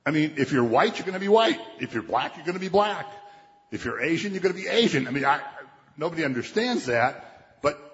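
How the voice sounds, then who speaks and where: Guy preaching a sermon, kinda higher voice, chatty, echoey hall, dull sound. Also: animated, fairly quick, fairly bright tone. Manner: conversational preaching, quotes scripture, acts out voices. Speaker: pastor. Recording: dull, muffled sound with long hall reverb.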